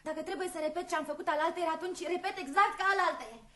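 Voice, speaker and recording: An actress playing a nervous, flustered young maid in a radio play, hesitant and halting, heard from a distance in a room. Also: female voice, very high-pitched, a bit bright. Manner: hesitant, halting, literal-minded. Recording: roomy, distant, faint noise.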